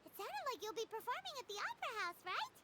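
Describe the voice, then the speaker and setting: A woman's voice: very high voice, big pitch swings, quick pace, very noisy. A woman voicing a tiny, perky and blunt fairy girl companion in a video game, with a childlike, exclaiming manner. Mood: curious. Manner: refers to self in third person, exclaiming, childlike.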